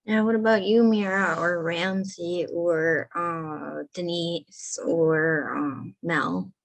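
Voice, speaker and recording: Lady hosting an online meetup, slow and low, lots of ums. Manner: slow, hesitant, many ums. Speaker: meeting host. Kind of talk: casual group discussion.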